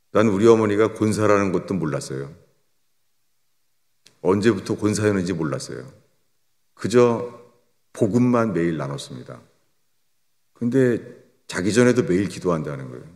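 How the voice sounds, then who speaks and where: An older man preaching a sermon as a pastor, swinging between raised emphatic lines and low, quiet drops. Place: church sanctuary. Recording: long church reverb.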